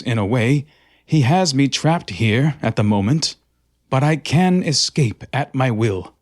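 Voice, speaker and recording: A man's voice: mid-range voice, no echo, clean studio sound, natural voice. Man voicing a smug sneaky little creature. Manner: smug, disdainful first-person narration. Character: small sneaky creature, proud, wary, scornful. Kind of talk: audio drama monologue. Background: loud music.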